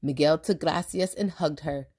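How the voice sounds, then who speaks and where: A woman reading a picture book aloud to young children in an animated, expressive storytelling style. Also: low voice, normal speed, breathy edge. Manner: animated, acts out the dialogue.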